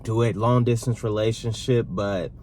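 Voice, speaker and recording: A man in his thirties co-hosting a casual sit-down Q&A with his partner, talking in a relaxed, rambling way. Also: fairly low pitch, normal speed, a little hiss or hum. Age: 30s. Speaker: vlog co-host.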